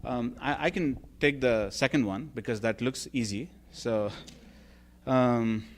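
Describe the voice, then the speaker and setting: A man's voice: mid-range voice, slow, slight background noise. A man moderating a church question session, with an ordinary mid-range voice. He talks hesitantly, filling gaps with ums and uhs while he reads the questions aloud and works through them. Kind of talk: reading out audience questions. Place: church hall.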